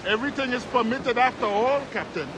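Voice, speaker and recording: An actor playing a loyal, earnest first mate on a pirate ship in a dramatic video game. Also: male voice, very high-pitched, fairly quick, noisy background. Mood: steady, earnest.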